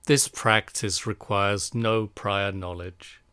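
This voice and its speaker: A man leads a guided meditation in a low, smooth voice. He speaks calmly and soothingly, taking his time between phrases. The sound is dry and close, with very slow delivery. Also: no room sound. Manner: calm, soothing, unhurried with long pauses.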